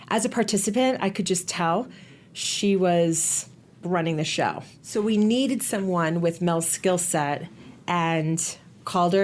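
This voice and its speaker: A woman's voice: fairly low pitch, darkish tone, a little hiss or hum. A woman hosting a podcast, speaking in an earnest, explanatory way as she reflects on a retreat. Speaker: podcast host. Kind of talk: reflective commentary.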